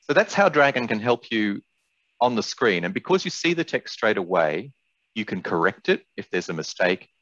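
Low-voiced older man presenting, roomy sound. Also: big pitch swings, normal speed, fairly bright tone. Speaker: presenter, software expert. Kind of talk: webinar presentation with product demonstration. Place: indoors, small room on a video call. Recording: dull, roomy webinar audio.

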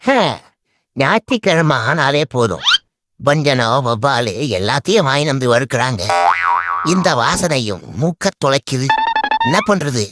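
Man doing a grumbling, animated cartoon animal. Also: medium pitch, unhurried. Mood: indignant, then griping. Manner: colloquial, mock-dramatic. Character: cheeky, grumbling, boastful male talking animal. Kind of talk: comic dubbing of funny animal clips. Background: music bed.

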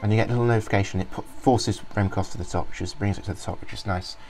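A man's voice: lowish voice, fairly even intonation, very fast, loud music behind the voice. A man rambling through a casual walkthrough of a program he is reviewing, full of ums and restarts. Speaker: software reviewer. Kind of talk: casual screen-recorded software walkthrough.

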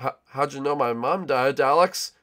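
A man's voice: mid-range voice, normal speed, a bit bright, natural voice. A man playing an anxious, guilty young boy creature trying to be brave in a casual skit, stammering and repeating himself. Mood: shocked, defensive. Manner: stammering, repetitive, nervous. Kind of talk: casual hobby character skit acting.

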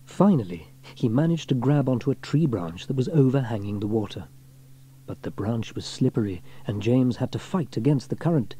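A man reads a children's story aloud in an expressive way. His voice is mid-pitched and mellow, with a lively rise and fall suited to audiobook narration. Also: normal speed, breathy edge.

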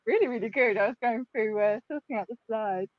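A woman's voice: a little high, brisk, no echo. Young woman, streamer host, chatty, laughs a lot, dry, muffled audio. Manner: chatty, apologetic, laughs often. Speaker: livestream host. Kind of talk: casual livestream chat. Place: home room with shelves. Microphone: desk microphone on a stand.

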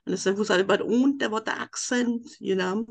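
Gravelly older woman with a German accent. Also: medium pitch, normal speed. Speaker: webinar participant. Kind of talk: rambling personal account. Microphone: video call.

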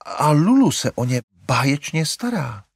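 Male reader doing a high-pitched flustered mum, coaxing, voice jumping around. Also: sing-song, slightly rough, no room sound, studio quality, full character voice. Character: fussy, fretful middle-aged mother.

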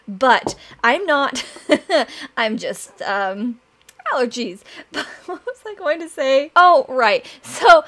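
A young woman hosting a Minecraft let's play, chatting excitedly to viewers while her voice is raspy from allergies and she keeps clearing her throat. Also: high-pitched. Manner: chatty, excitable, addresses "you guys" often. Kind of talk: casual gameplay commentary.

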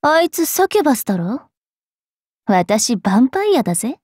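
A woman playing a haughty, mischievous young vampire fairy priestess in an anime game, brash and teasing in a rough-spoken way. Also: sits high, big pitch swings, moderate pace, a little mellow, no echo, studio quality, full character voice. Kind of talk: anime game character voice lines.

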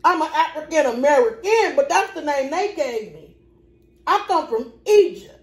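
A woman's voice: sits high, lively intonation, moderate pace. Sassy drawling Southern woman. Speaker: mukbang co-host. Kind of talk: casual banter while eating. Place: home kitchen table. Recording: echoey kitchen.

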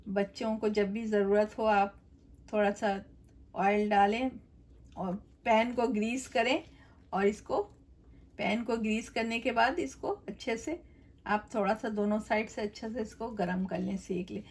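A woman's voice: medium pitch, steady tone, measured pace, a little mellow. A middle-aged woman hosting a cooking channel, talking viewers through a recipe step by step in a chatty, direct way from her home kitchen.

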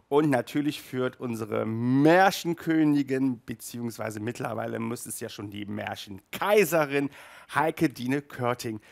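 A man in his thirties hosting a casual video review, chatty and halting, with emphatic asides, speaking into a headset mic. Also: mid-range voice, vocal fry. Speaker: host of an audio drama review show. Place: small furnished room indoors.

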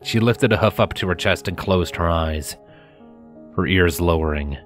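Low-voiced man narrating a story. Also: normal speed, a bit bright, soft background music.

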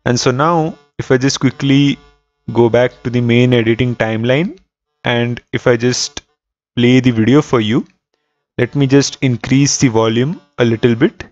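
A man's voice: mid-range voice, steady tone, normal speed, dry recording. Male tutorial host, methodical step-by-step narration, dry close sound, slightly dull recording.

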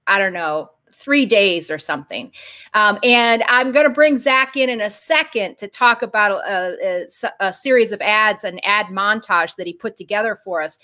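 A middle-aged woman hosting a political discussion show over a video call, who gets excited and jumps up into a higher voice. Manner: excitable, pitch jumps high when excited. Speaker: show host. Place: home room with bookshelves.

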